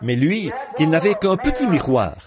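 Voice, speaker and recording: A man delivering a translated sermon in a lively preaching cadence, repeating words as he goes. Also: on the high side, normal speed, crowd noise. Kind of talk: French reading of a translated sermon.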